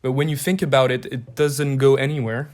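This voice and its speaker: Casual young Frenchman, medium-pitched voice. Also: steady tone, brisk, darkish tone. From eastern France. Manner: informal, repeats himself while searching for words. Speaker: podcast guest. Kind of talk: casual chat.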